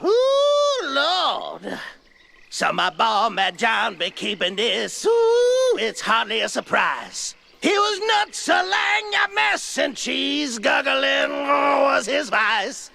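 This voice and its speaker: An excitable old swamp cook drawls slowly in sing-song rhymes. His creaky voice is extremely high and piercingly bright, a wild video game eccentric over faint music. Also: full character voice. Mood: amused, animated. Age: older. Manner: rhyming, sing-song, whooping folksy talk.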